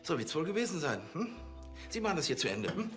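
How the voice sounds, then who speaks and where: A man's voice: a little high, big pitch swings, quick pace, fairly bright tone, faint music behind. An actor playing a pompous, fussy police chief who tacks "hm?" onto his orders. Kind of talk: TV crime comedy acting.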